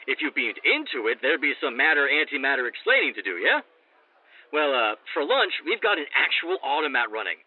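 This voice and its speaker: An actor playing a geeky, pedantic, excitable sci-fi restaurant owner as a radio guest, over a thin phone line. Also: male voice, mid-range voice, a little fast, no echo. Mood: eager, pedantic. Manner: nerdy, pedantic, enthusiastic.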